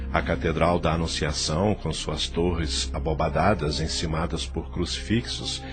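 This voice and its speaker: A man with a Brazilian accent narrating a scripted audio novel. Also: low-pitched.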